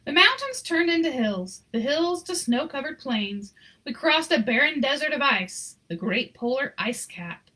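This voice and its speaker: A woman's voice: high voice, very animated, measured pace, pushed and bright. A woman reading a children's picture book aloud with dramatic, emphatic phrasing. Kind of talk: expressive children's read-aloud with casual asides.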